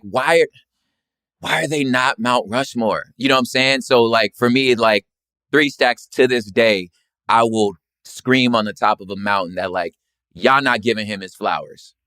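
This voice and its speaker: A man's voice: medium pitch, measured pace, a bit bright, no echo. An American man chatting casually about music in an emphatic, slangy way, often repeating his phrases. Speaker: rapper and poet, guest.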